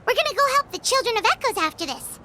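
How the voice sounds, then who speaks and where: A woman voicing a small, excitable companion character in a game, with a high, squeaky voice. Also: quick pace, a little hiss or hum, full character voice. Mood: eager. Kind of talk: game dialogue.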